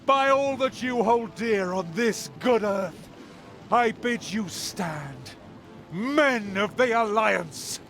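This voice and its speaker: A man's voice: sits high, expressive, takes it slowly, fairly bright tone, soft background music, full character voice. An actor playing a heroic demigod warrior, delivering a grand, solemn battle speech to his army. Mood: rousing, defiant. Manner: solemn, declamatory.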